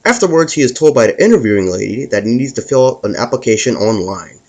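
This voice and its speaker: A man's voice: mid-range voice, lively intonation, fast talker. A man hosting a scripted comic story, narrating it with stumbles and repeated words as he reads. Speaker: host and storyteller.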